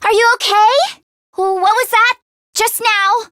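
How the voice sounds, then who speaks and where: Teenage game girl, very high voice, animated, anxious, earnest, dry close recording. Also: measured pace, no echo, exaggerated voice. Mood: scared, nervous. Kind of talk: English video game voice acting, isolated voice lines.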